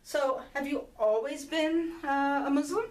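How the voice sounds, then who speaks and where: A woman's voice: high voice, a little slow, darkish tone, echoey room. A woman interviewing a student, asking probing questions and encouraging her with frequent murmured acknowledgments.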